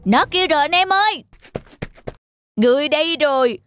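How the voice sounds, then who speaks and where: A woman voicing a greedy, gullible, hot-tempered monkey in a children's cartoon, excitable in manner. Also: high-pitched, normal speed. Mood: angry. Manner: eager, excitable. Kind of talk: children's cartoon fable dialogue. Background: background music.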